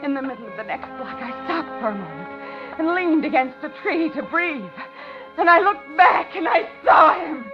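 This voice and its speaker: Dull vintage radio sound. frightened female captive, high-pitched, polite on the surface, breathless dramatic narrator of a thriller. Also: expressive, normal speed. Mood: panicked. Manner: dramatic, breathless first-person suspense narration. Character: frightened captive woman, narrating her ordeal, outwardly polite. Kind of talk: 1950s radio thriller acting. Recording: dull 1950s radio drama, loud music.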